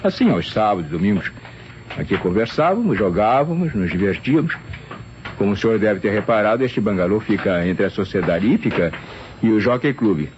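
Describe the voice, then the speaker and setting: Lively, courteous private secretary. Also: male voice, on the low side, a little fast. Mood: courteous, explanatory. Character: courteous, talkative male secretary of a doctor. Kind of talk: old radio drama acting. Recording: dull, hissy old radio recording.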